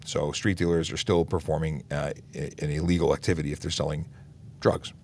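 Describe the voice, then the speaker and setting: Deep-voiced, gravelly police officer. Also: male voice, fairly quick, slight background noise. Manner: relaxed, many "uh" fillers and restarts. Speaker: police officer hosting a traffic Q&A livestream. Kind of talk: casual livestream Q&A, reading and answering viewer questions.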